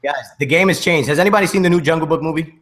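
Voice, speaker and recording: A man hosting a casual podcast with friends, bantering excitably and emphatically in profane slang. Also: on the high side, racing. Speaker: podcast host.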